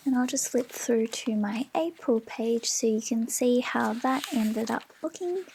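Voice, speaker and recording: Slow, soft-spoken Australian woman. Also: a little high, creaky, noisy background. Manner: gentle, often half-whispered, unhurried. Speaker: planner vlogger. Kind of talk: soft-spoken planner flip-through. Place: home desk.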